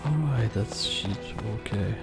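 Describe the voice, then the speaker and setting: A man's voice: on the low side, measured pace, vocal fry. A man giving casual video game commentary, reading text aloud and adding wry asides. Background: game music.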